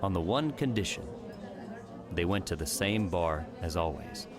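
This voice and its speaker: A man's voice: low voice, expressive, normal speed, music in the background. A man reading scripted story narration as the narrator of an audiobook.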